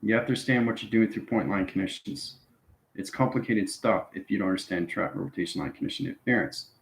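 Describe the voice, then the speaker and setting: A man's voice: fairly low pitch, fast talker, vocal fry, a little hiss or hum. A man giving an improvised esoteric lecture to viewers, instructing repetitively and often checking in with short tags like okay and right. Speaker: spiritual channel host giving instruction. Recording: roomy, echoing sound.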